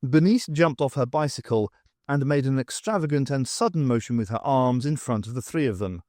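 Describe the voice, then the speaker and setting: Animated male audiobook narrator, dry sound. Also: medium pitch, a little fast, dry recording. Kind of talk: scripted fiction narration.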